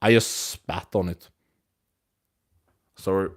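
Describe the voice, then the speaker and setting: A man's voice: sits low, fairly even intonation, slow. A man in his twenties hosting a casual live stream, talking to viewers in a rambling way with many restarts and fillers, into a phone. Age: 20s. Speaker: livestream host.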